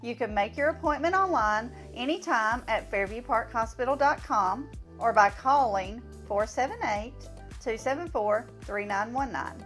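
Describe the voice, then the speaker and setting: Woman reading a polished promo, higher-pitched. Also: expressive, moderate pace, a bit bright, soft background music. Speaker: advertisement narrator. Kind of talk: scripted promotional narration.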